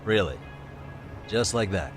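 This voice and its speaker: An actor playing a wry, level-headed detective in a video game dub, speaking in a dry, conversational way. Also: male voice, lowish voice, lively intonation, measured pace. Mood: sardonic. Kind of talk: English-dub video game cutscene acting. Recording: music behind the voice.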